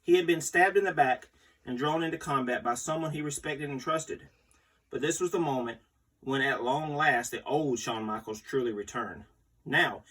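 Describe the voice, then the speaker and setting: Guy reading an article out loud, kinda raspy, mic is a bit far away. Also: mid-range voice. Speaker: host reading aloud. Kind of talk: scripted magazine read-aloud. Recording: distant microphone.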